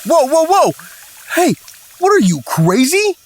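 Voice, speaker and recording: A woman's voice: high voice, big pitch swings, unhurried, a little hiss or hum. A woman playing a frazzled, self-absorbed young video creator in an audio drama, talking dramatically in breezy modern slang. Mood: alarmed, indignant. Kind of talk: horror comedy audio drama.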